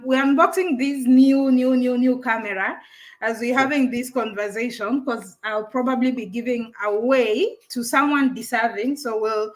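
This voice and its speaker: A woman's voice: a little high, poor audio. A woman hosting a live stream, chatting casually with a caller and laughing often while she unboxes a camera.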